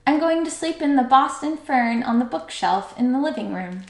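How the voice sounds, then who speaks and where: Woman as a soft-voiced, musical little songbird. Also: high-pitched, expressive, moderate pace, roomy sound. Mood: polite, calm. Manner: polite, poetic. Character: gentle, polite little female songbird, softly musical.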